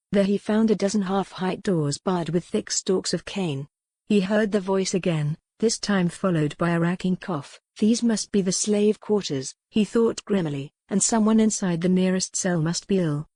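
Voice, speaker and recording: A woman's voice: on the low side, dark tone, dry recording. A woman reading scripted dramatic fiction as an audiobook narrator.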